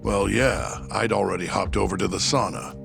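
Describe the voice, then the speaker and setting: A man's voice: sits low, expressive, normal speed, gravelly, over music, heavily acted. A man playing a hot-tempered, defiant yakuza in a game cutscene, blunt, sneering and evasive. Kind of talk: video game cutscene acting.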